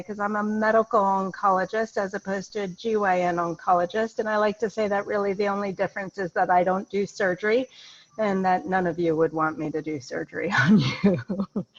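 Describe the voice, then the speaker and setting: Hoarse woman oncologist on a call. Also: medium pitch. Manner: chatty, enthusiastic. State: hoarse, under the weather. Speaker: medical oncologist. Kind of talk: self-introduction on video call. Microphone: video call. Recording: dull lo-fi video call audio.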